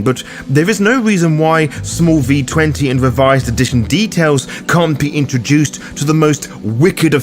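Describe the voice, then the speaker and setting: A man hosting a guide, delivering scripted explanatory narration in a theatrical, florid manner. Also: fairly high pitch. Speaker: host of a roleplaying guide show.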